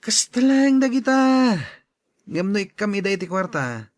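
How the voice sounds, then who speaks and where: A man's voice: sits high, expressive, moderate pace, dry recording, clean studio sound. A man playing a jovial, scheming drug dealer who talks people round in an audio drama. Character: scheming, jovial male drug dealer luring poor neighbours.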